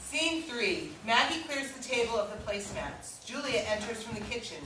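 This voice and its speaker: A woman's voice: on the high side, lively intonation, moderate pace, recorded from a distance. A woman reading scripted stage directions as narration at an indoor staged reading. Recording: very echoey room, loud background noise.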